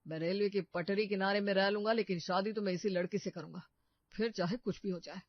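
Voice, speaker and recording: A man's voice: sits high, steady tone, brisk, no echo. A man playing a protective, scheming young brother in a cartoon story, with an assured, earnest delivery. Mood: defiant. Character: protective, scheming young working-class brother posing as rich suitor. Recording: muffled dry studio recording.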